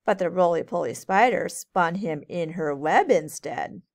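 A woman teacher reading a storybook aloud to children in a measured, sing-song way. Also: fairly low pitch, animated. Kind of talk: storybook read-aloud narration.

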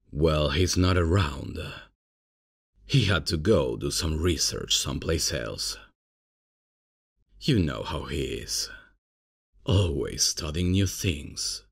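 Very deep, laid-back substitute professor, played by a man. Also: vocal fry, breathy edge, exaggerated voice. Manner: casual, slow, hesitant improvising. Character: laid-back, unprofessional male substitute professor, cocky and casual. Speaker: roleplay performer. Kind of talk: ASMR comedy roleplay, addressing the listener.

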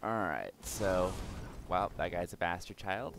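A young man with a slightly raspy, hoarse voice giving casual let's-play commentary, swearing and mocking himself as he plays. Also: deep voice, a little slow, noisy background. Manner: swears and exclaims at the game, self-mocking. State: hoarse from yelling. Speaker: gaming channel host.

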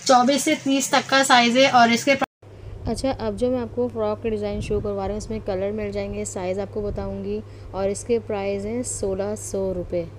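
A woman's voice: medium pitch, little pitch movement, moderate pace, rough recording. A woman with a Pakistani accent presenting stock in an informal sales style, repeating herself and mixing in English words. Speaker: shop presenter showing wholesale stock. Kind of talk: informal product showcase sales talk. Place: indoor garment shop packed with fabric. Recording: clear background noise, handheld phone sound.

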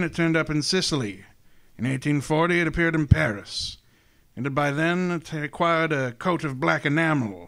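An actor playing a genteel, scheming older crime boss in a radio drama, speaking in a courtly, grandiloquent, relishing way. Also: male voice, on the high side, fairly bright tone. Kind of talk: hardboiled detective radio drama acting.

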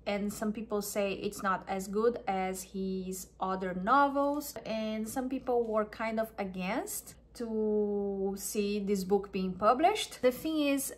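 A woman's voice: medium pitch, fairly bright tone. A woman from Brazil with a Brazilian Portuguese accent, a native Portuguese speaker chatting enthusiastically to camera and often restarting her phrases. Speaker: wellness vlogger. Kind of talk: casual chat to camera.

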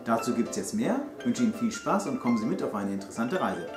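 A man's voice: medium pitch, very animated, fast talker. A middle-aged man who heads a city partnership office, giving a friendly, proud welcome in an exhibition room. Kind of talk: welcoming video introduction. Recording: roomy echo with background music.

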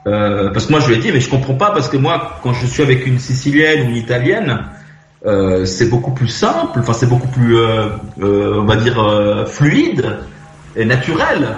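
A man's voice: medium pitch, sing-song, normal speed. A man from Switzerland, a radio phone-in caller, chatting casually and telling his story hesitantly as he searches for words. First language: French. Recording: muffled, echoey internet call, music behind. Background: music.

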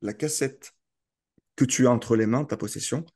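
Guy hosting a chat, normal pitch, keeps restarting his sentences. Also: a little mellow. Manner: halting, restarts phrases, repeats words. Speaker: host. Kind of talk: casual interview chat.

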